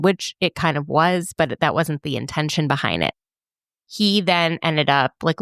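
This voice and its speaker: A woman with a notably low voice co-hosts a casual podcast. She thinks out loud, hedging and leaning on "like." The sound is close and dry. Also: gravelly, dry recording. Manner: thoughtful, hedging, lots of "like". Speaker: podcast co-host. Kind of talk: casual chat.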